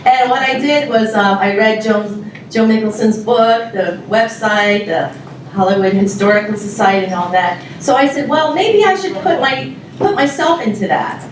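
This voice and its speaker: A woman welcomes a live audience, chatty and giggly, often pausing on fillers. Her voice sits a little high. She is heard from a distance in a very echoey hall. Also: a little hiss or hum. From Taiwan. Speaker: music club organizer presenting a show. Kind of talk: informal welcome speech to an audience. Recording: very echoey hall, mic far off.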